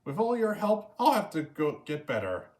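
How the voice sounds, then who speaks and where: A man's voice: a little high, huge pitch swings, normal speed, a little mellow, voice pushed up. A man voicing an earnest young female mermaid, eager but self-doubting, in a children's storybook reading. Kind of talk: children's storybook read-aloud.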